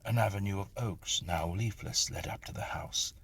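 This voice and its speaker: Deep husky man reading a ghost story. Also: normal speed. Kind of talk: scripted dramatic narration of a Victorian ghost story.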